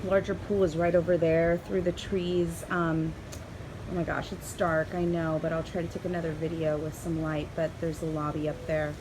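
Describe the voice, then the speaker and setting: A young woman giving an excited, chatty hotel room tour, her voice a little hoarse and raspy, filmed on a handheld phone. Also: low-pitched, normal speed, noisy background. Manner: bubbly, excited, chatty with fillers. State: slightly hoarse, lost voice. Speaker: travel vlogger. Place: hotel room and ground-floor patio. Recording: roomy echo, faint ambience.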